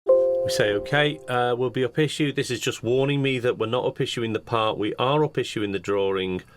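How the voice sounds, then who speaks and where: A man giving an unscripted software walkthrough, explaining each step methodically as a demonstrator. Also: medium pitch, normal speed, faint music behind. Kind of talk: screen-share tutorial narration, unscripted walkthrough. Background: computer keyboard typing.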